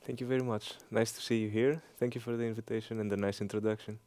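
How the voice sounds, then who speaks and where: A man in his thirties with a Greek accent, answering interview questions with many hesitant pauses and fillers. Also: on the low side, steady tone, warm tone, dry recording. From Greece. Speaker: interview guest, engineering professor.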